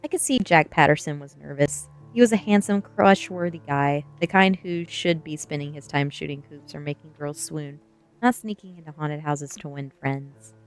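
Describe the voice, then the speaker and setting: Low-voiced woman reading a story over music. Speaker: streamer reading aloud. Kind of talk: ASMR reading of a young adult novel, storytelling narration.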